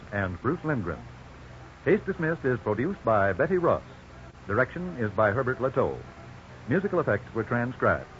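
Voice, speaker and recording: A male announcer reads scripted lines in formal 1950s radio style. His mid-pitched voice comes through a muffled old recording with a faint hiss. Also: fairly bright tone.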